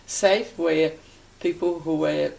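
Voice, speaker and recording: An elderly woman from Poland with a Polish accent, recalling her life in a halting, deliberate way as she is interviewed. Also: deep voice, fairly even intonation, gravelly, a little mellow, low-quality recording. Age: 80s or older. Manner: halting, deliberate, searching for words. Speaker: interviewee, life-story witness. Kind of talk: personal reminiscence in an interview.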